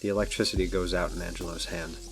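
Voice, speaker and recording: A man with a deep voice narrates a scripted audio drama. Music runs clearly behind his reading. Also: level tone, fast talker. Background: background music.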